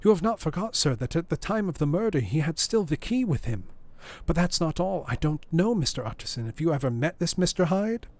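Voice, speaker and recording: A man voicing a frightened, loyal old male butler who pleads in rustic phrasing, raised in pitch above his own voice. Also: big pitch swings, a little fast, darkish tone.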